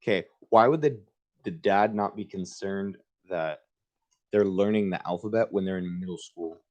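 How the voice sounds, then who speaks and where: A man's voice: sits low, moderate pace, a little mellow. A man reading a children's book aloud in an informal way, with casual asides of his own. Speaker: reader hosting a book livestream.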